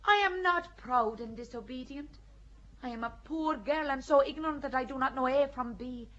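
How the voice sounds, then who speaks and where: A woman's voice: high voice, moderate pace, fairly bright tone. An actress playing a young, defiant peasant girl in a radio play, earnest and pleading. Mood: indignant. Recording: dull lo-fi recording.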